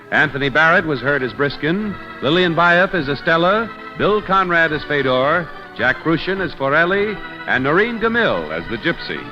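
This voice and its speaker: A man announcing scripted credits and sponsor lines in a polished mid-century radio style. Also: a little high. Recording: dull old radio recording. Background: music.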